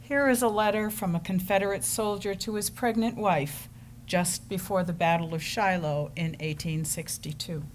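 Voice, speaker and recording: A woman's voice: on the low side, fairly even intonation, moderate pace, low-quality recording. A woman giving solemn scripted narration for a staged reading. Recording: live stage recording with background noise.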